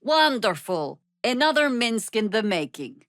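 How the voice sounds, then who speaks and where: An actress playing a seasoned, sardonic older druid adventurer in a video game, with dry, wry and deliberate formal phrasing. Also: female voice, fairly high pitch, big pitch swings, fairly bright tone, no echo. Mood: dry sarcasm. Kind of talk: video game character acting.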